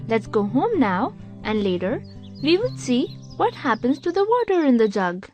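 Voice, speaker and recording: A woman voicing a lively, knowledgeable young cartoon guide who explains things expressively to a child. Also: high voice, moderate pace, soft background music. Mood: explaining. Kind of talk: scripted children's educational cartoon dialogue.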